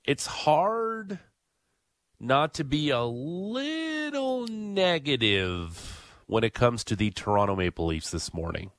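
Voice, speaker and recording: A man's voice: medium pitch, huge pitch swings, slow pace, a bit bright, no room sound, studio quality. A man hosting a sports radio talk show, leading the chat with questions in a conversational way. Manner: conversational, leads the discussion with questions.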